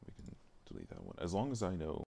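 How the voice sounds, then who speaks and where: A man thinking aloud in a casual, halting way as he streams work at his computer, muttering to himself. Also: deep voice, huge pitch swings, gravelly, mellow. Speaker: live streamer working on a game mod. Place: indoors at a computer, keyboard typing.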